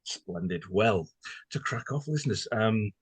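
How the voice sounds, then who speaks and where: Husky, low-voiced man interviewing. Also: animated, unhurried, dry recording. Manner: wordy, mock-formal politeness. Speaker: podcast host and interviewer. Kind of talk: conversational interview.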